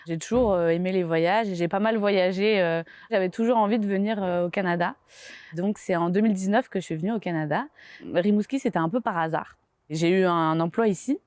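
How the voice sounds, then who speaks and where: A woman's voice: fairly low pitch, brisk, darkish tone, dry recording, studio quality. A young woman from France with a French accent, telling her story in a casual interview with many fillers and small laughs. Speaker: interviewee, graphic designer.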